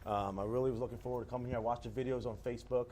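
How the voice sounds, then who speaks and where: Quick, eager man from Ghana, guest speaker, mid-pitched. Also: steady tone, warm tone. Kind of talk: casual talk to young audience.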